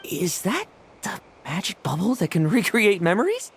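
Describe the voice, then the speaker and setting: A woman's voice: mid-range voice, big pitch swings, moderate pace, gravelly, a little hiss or hum. A woman voicing a confident, irreverent young female warrior in a video game, teasing and breezy. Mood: curious. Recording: game music behind the voice.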